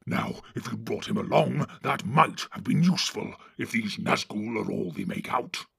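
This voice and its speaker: A man voicing a brutal, boastful orc warlord in an audiobook, barking contemptuous orders in a gravelly voice. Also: fairly low pitch, expressive, moderate pace, fairly bright tone, no room sound, clean studio sound, pitched below the performer's own voice, heavily acted. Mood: contemptuous commanding. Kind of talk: audiobook reading.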